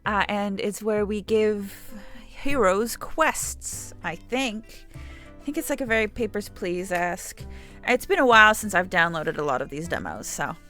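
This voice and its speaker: Bright-voiced young woman streamer. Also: medium pitch. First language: Samayach. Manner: rambling, chatty, swears casually. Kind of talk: casual gaming stream chat.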